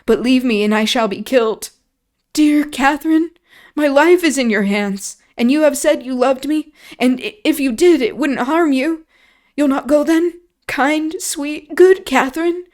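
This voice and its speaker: A woman's voice: high voice, unhurried, darkish tone, pitched above the performer's own voice. A woman doing a sobbing, terrified weak boy. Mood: pleading sobs. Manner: sobbing, pleading.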